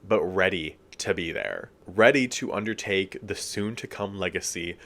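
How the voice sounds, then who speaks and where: A man, giving a chatty, expressive review as casual commentary, full of asides and fillers. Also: low-pitched, moderate pace. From United States. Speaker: video reviewer.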